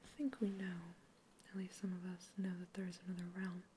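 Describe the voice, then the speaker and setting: A woman whispering in an ASMR roleplay as a polite human researcher questioning a captive alien, gently curious and reassuring. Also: on the low side, level tone, fairly quick, a little hiss or hum, close to an everyday voice. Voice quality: soft whisper throughout.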